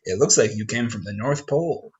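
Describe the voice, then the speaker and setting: A man playing a gruff, cynical businessman father in a comedic script read, brusque and sarcastic, with a husky voice. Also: lowish voice, a little fast, a little mellow, lo-fi sound.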